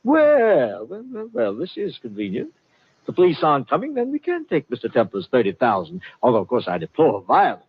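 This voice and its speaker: An actor playing a genteel, smug older colonel and swindler, courtly and florid, in 1950s radio mystery style. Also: male voice, medium pitch, wildly swooping pitch, brisk, breathy edge. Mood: gloating. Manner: courtly, florid, mock-regretful. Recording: dull 1950 radio broadcast.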